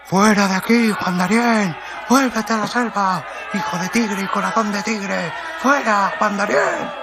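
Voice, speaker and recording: A man voicing hateful villagers who shout jeering taunts at an outcast in a radio drama. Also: high-pitched, a little slow, vocal fry, pitched above the performer's own voice. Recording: loud music under the voice.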